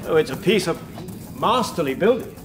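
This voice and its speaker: Breezy, animated rabbit host. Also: male voice, on the high side, normal speed, a crowd in the background. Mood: genial, proud. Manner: breezy, boastful. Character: genial, proud male rabbit guide, breezy. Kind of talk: radio drama acting.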